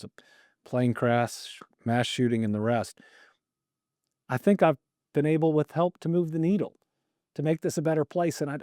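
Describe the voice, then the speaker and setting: A man's voice: medium pitch, warm tone, dry recording. Middle-aged male podcast host, earnest and conversational, voice in the middle range, dry booth sound. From Kentucky. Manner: earnest, repeats words when starting thoughts. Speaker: podcast host and state governor. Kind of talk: casual conversational interview.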